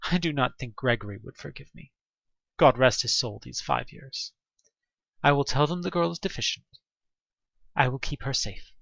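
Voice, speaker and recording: A man's voice: mid-range voice, expressive, moderate pace, vocal fry, pauses often, fairly bright tone, no room sound, dull audio. A man voicing a devout, guilt-ridden parish priest reading out his confessional diary in a horror audio drama. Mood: solemn, remorseful. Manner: solemn, confessional.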